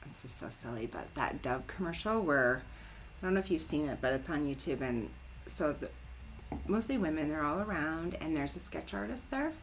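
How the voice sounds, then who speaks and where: A middle-aged woman with a fairly low voice hosts a casual interview. She is chatty and animated, sprinkling her talk with "like" and "right?". There is clear background noise on the recording. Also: normal speed, low-quality recording. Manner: chatty, informal, animated storytelling with frequent "like" and "right?". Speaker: podcast host.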